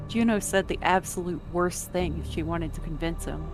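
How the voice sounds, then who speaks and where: A woman reads scripted story narration, addressing the listener as the main character. Her voice is somewhat low. Music plays clearly behind her. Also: normal speed, low-quality recording.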